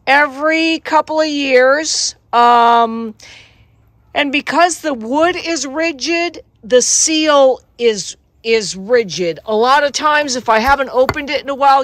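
A woman's voice: a little high, a bit bright. A woman who is a wilderness guide and show host, casually showing gear outdoors in the snow and telling a story in a plainspoken, folksy way. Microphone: camera mic, handheld at times.

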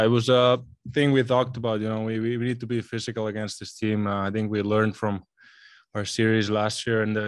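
Male athlete, 20s, postgame presser, table mic, dry room. Low, flat, halting delivery. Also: dry recording. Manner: halting, many repeated words and fillers. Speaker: hockey player at postgame press conference. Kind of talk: postgame interview answers. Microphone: table mic in front.

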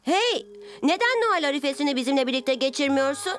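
A woman's voice: high voice, big pitch swings, brisk, fairly bright tone. A woman voicing a brave, kind young boy superhero in a cartoon dub, speaking earnestly and encouragingly. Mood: friendly, eager. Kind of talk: Turkish animated cartoon dub. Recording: music behind the voice.

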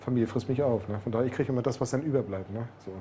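A man's voice: mid-range voice, very fast, dark tone, slight background noise. A male nurse with a Berlin accent, chatting and joking with patients at the bedside. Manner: chatty, joking, tags phrases with "ne?". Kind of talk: friendly bedside chat with patients. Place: hospital emergency department.

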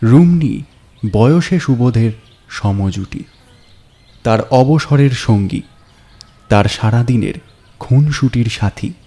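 A male storyteller with a mellow, dark voice reads at a very unhurried pace. His pitch moves expressively through dramatic, atmospheric narration with measured pauses, over faint music. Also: animated.

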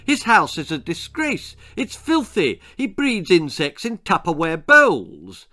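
A man's voice: high-pitched, huge pitch swings, slow pace, pushed and bright, no echo, studio quality, voice pushed up, heavily acted. A man voicing an indignant, imperious, shrieking chairwoman in a storybook. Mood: outraged. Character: shrill, bossy, indignant woman chairing residents' meeting.

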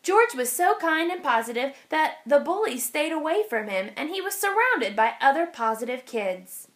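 Casting: female teacher for picture-book read-aloud, high voice, expressive, very lively intonation, clear phrasing. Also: moderate pace. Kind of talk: children's storybook read-aloud. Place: indoors, over an open picture book.

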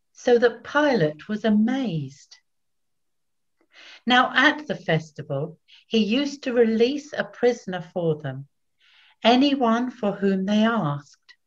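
An older woman narrating scripture solemnly from a script, phrase by phrase, over a video call at home. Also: mid-range voice. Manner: measured, phrase-by-phrase reading. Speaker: narrator, Evangelist. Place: small room at home. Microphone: computer video call. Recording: dull lo-fi video-call audio.

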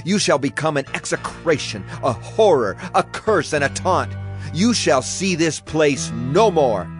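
An actor playing a stern Hebrew prophet in a dramatized audio Bible, solemn and declamatory. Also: male voice, fairly high pitch, very animated, measured pace, over music. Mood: stern warning.

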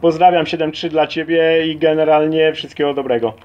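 Higher-voiced man on CB radio, dull sound. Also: level tone, fairly quick, darkish tone. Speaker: amateur CB radio operator. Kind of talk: casual CB radio contact. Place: at a CB radio set. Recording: dull lo-fi audio, faint radio noise.

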